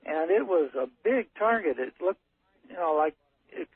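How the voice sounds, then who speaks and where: Husky male caller on a narrow phone line. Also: on the high side, sing-song, no echo. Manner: halting, matter-of-fact, technical. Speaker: caller, ship's captain. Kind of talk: unscripted storytelling on a call-in show. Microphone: telephone.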